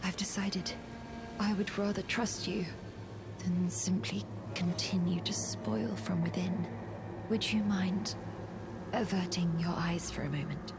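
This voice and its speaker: An actress playing a frail, solemn young swordswoman in a fantasy game, speaking softly and gravely in formal, old-fashioned phrases, often half-whispered. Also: lowish voice, fairly even intonation, measured pace, noisy background, close to an everyday voice. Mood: resigned, uneasy. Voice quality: soft, breathy, half-whispered. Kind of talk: scripted fantasy game acting.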